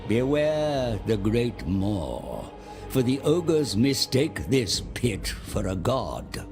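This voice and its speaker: A man's voice: mid-range voice, very animated, extremely slow, over music, exaggerated voice. A man playing a cunning seer who advises dragons and demons in a video game cinematic, grave, portentous and persuasive. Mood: portentous, solemn.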